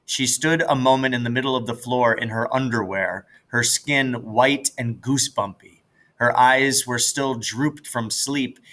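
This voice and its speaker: A man narrates a children's novel as a read-aloud. His voice sits at a middle pitch with a bright, forceful tone, in the style of a teacher reading a story.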